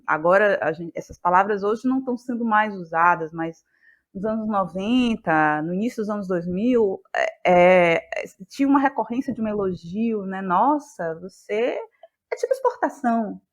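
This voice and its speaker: A woman talking as an interview guest, explaining her work in a thoughtful, expressive conversational way. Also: mid-range voice, normal speed. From Brazil, Bahia. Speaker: guest, playwright and actress.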